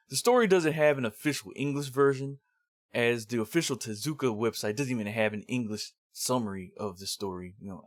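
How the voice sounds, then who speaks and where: A man's voice: lowish voice, no echo, studio quality. A man presenting a review in semi-scripted narration with casual, rambling asides. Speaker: host of a manga review series.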